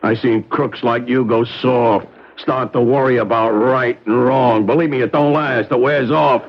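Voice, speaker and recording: A gravelly, scheming ex-con who wheedles his old cellmate in a street-tough voice. Heard through a dull, lo-fi vintage radio broadcast, the gritty rasp stays in the low middle. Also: fairly even intonation, a little slow. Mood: persuasive. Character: scheming male ex-con, wheedling then menacing. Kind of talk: 1970s radio drama acting.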